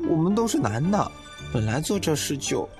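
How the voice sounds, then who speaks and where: Actor as young college man, radio drama: indignant, flustered, very animated delivery, music behind. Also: on the high side, moderate pace. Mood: defensive. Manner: flustered, protesting. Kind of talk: Chinese audio drama acting.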